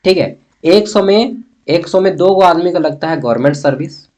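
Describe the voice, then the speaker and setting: A man with a Jharkhand Hindi accent and a slightly high voice gives an informal lesson. He talks straight to his students in everyday colloquial speech. He often poses a question and then answers it himself. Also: lively intonation, moderate pace, a little mellow. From Jharkhand, India. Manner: colloquial, chatty, addresses students directly. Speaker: history teacher for college students. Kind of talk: informal exam-prep lesson.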